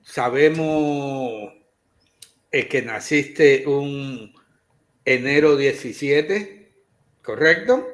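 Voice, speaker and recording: Slow, halting male co-host. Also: medium pitch, a bit bright. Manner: halting, with many fillers. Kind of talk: interview questions.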